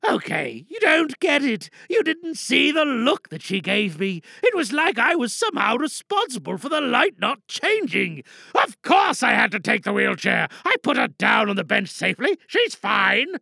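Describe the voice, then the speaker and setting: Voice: mid-range voice, huge pitch swings, moderate pace, gravelly, pushed and bright, breathy edge, no room sound, voice pushed up, heavily acted. A performer voicing a frantic, self-righteous driver making indignant, wheedling excuses in a comic audio drama monologue. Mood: defensive. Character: frantic, self-righteous reckless driver lying to a police officer.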